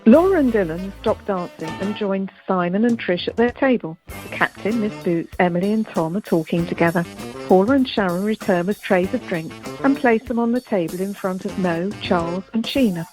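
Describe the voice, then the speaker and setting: A woman's voice: medium pitch, moderate pace, darkish tone, low-quality recording. Woman narrating, faint music. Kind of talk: scripted radio play narration.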